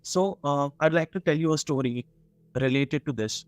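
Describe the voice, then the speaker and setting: A man with an Indian accent telling a story conversationally, in a halting way with frequent asides. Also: a little high, smooth, no echo.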